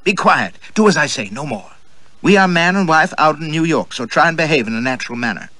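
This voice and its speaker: Man voicing imperious, curt woman, raised high. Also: lively intonation, fairly quick, sharp tone, no echo. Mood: tense, bossy. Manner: curt, clipped, rapped-out orders. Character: imperious, short-tempered young woman, commanding and scornful.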